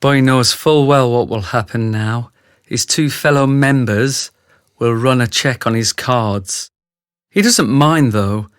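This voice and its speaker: An actor playing a brutish ex-soldier who narrates a radio drama, speaking straight to the listener. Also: male voice, mid-range voice, slow pace, clear, resonant tone, no room sound, studio quality, natural voice. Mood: grave, confiding. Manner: grave, confiding, addresses the listener directly. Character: remorseful thick brute ex-soldier, male confessional narrator.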